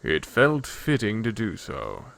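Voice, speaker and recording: Courteous clawed emperor acted by a male reader, composed, measured, formal; fantasy story, dry close sound. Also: on the low side, huge pitch swings, creaky, fairly bright tone, no echo, heavily acted. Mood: gentle.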